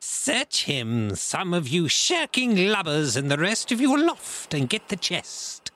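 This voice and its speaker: Raging high-shouting pirate chief. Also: male voice, sing-song, unhurried, no echo, exaggerated voice. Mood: furious, eager. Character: furious blind beggar pirate chief barking orders. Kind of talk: audiobook character acting.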